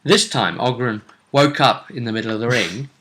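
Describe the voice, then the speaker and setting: A man casually recapping a tabletop role-playing tournament as its host, telling it in halting bursts with dramatic repetition. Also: medium pitch, a bit bright, a little hiss or hum. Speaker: host and game master.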